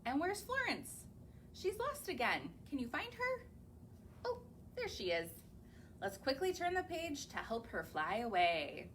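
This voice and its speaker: Slow, sing-song woman reading to kids. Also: high-pitched, big pitch swings, fairly bright tone, slight background noise. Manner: sing-song, slow, coaxing, asks the children questions. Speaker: children's librarian. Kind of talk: reading picture books aloud to young children. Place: library or home, recorded on camera.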